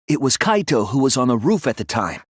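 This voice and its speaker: Casting: a thoughtful teen boy sleuth for a game dub; earnest, deliberate, slightly breathy, very dry close sound. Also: mid-range voice, sing-song, dry recording. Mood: reasoning, earnest. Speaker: video game voice actor. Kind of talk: English video game dub, dramatic deduction dialogue.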